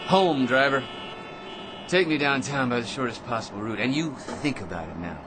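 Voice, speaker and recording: An actor playing an excitable, self-important eccentric in a radio drama, rambling and stammering through grand schemes with theatrical delivery. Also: male voice, mid-range voice, normal speed, background noise, close to an everyday voice. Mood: cheerfully bossy.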